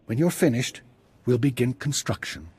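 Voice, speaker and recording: An eccentric Jedi master from a video game. His voice is very husky and rough, swinging animatedly up and down as he mentors with theatrical flair and the weight of someone who sees what is coming. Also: mid-range voice, expressive, normal speed, a little mellow. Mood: calm, guiding. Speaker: Jedi master guiding a student. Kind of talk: scripted video game dialogue.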